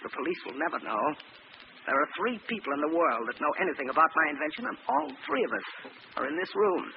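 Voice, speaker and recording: A man playing a cold-blooded, gloating villain scientist with mock-courteous, deliberate speech in 1940s radio drama style. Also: a little high, big pitch swings, vocal fry. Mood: desperate, raging. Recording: muffled, noisy old radio recording.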